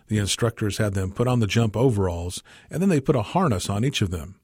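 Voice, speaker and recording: Husky man reading a short story. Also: lowish voice, a little mellow, no room sound, studio quality. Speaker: story reader. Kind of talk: scripted short story narration.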